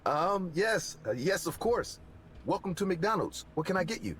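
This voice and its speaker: A man voicing a nervous, polite young fast-food worker who stammers and speaks overly formally. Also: high voice, sing-song, a bit bright, a little hiss or hum, pitched above the performer's own voice.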